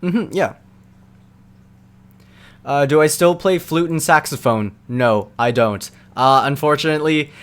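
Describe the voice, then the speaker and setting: A young man with a mid-range voice talks loosely and casually as he answers live chat questions. He rambles with fillers like "like" and "um" and laughs easily. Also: mid-range voice.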